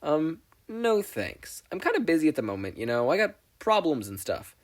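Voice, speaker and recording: An earnest teenage boy, played by a man reading fiction speaks politely and a little hesitantly. His voice sits a bit high and moves up and down expressively. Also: very animated, normal speed. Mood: uneasy.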